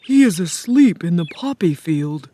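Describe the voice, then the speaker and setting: A woman voicing a kind, gentle male scarecrow in a storybook reading, speaking calmly and earnestly. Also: lowish voice, a little hiss or hum. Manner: calm, earnest, slow and persuasive.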